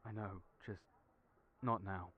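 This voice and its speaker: A man with a somewhat low voice speaks gently and hesitantly in an audio roleplay, often stammering and trailing off. His delivery stays quiet and reserved. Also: fairly even intonation, takes it slowly, slightly rough, narrow, tinny audio. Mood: reluctant. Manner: soft-spoken, hesitant, stammering.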